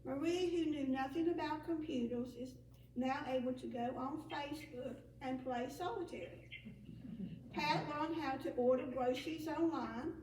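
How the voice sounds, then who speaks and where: A woman with a high voice stands at a meeting podium and reads her prepared remarks, stumbling over a word now and then. The mic is some distance away, and the sound carries in an echoey room with faint ambient noise. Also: normal speed, darkish tone. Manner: reads aloud with small slips and restarts. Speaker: senior center director.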